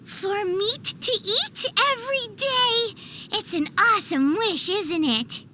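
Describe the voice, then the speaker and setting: A woman voicing a tiny, childlike, cheerful and greedy fairy companion in a video game, squeaky and exaggeratedly cutesy. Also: animated, slow pace, background noise, full character voice. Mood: chatty, explaining. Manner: refers to self in third person, exaggerated cutesy delivery.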